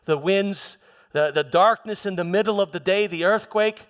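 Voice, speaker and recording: Man performing Roman governor, stage monologue, fairly high male voice, defensive, stammering, emphatic, long hall reverb. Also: clean tone, natural voice. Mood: uneasy recounting. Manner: halting, stammering, self-justifying, emphatic. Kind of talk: theatrical church monologue, first-person dramatization.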